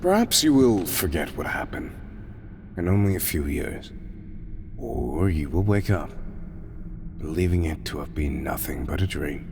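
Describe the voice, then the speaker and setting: A man performs a proud, grieving god with a very deep voice that ranges widely in pitch. He speaks in solemn, formal phrases with breathy softness, in a fantasy audio drama. Noisy ambience sits around the voice. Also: sing-song, measured pace, pauses often, heavily acted. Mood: weary, mournful. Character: weary, proud male god, grieving and resolute. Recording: clearly noisy, ambient background sound.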